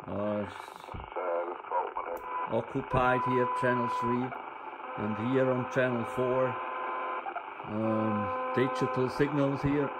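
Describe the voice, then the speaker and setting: A man giving an informal hands-on demonstration of radio equipment, in halting, fragmented phrases. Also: medium pitch, fairly even intonation. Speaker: presenter of a radio equipment channel. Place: indoors at a table. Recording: noisy, with hiss and radio static.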